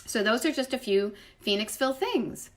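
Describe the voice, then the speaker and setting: A woman's voice: fairly high pitch, expressive, normal speed. A woman with a slight Boston accent chatting casually as a livestream host, rambling effusively and interrupting herself with emphatic asides. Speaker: livestream host, retro travel writer. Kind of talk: casual chat answering live viewer comments. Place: at home, indoors.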